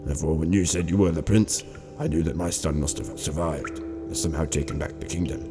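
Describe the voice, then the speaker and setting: A man playing a gentle, wise old exiled king and grandfather in an amateur radio drama, speaking in a measured, formal, deliberate way. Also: a bass voice, steady tone, over loud music. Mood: earnest, solemn.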